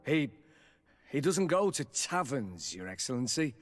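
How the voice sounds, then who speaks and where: An actor playing a deferential, uneasy palace bodyguard in a video game, speaking haltingly and respectfully. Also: male voice, mid-range voice, sing-song. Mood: reluctant, confiding. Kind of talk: dramatic video game cutscene acting.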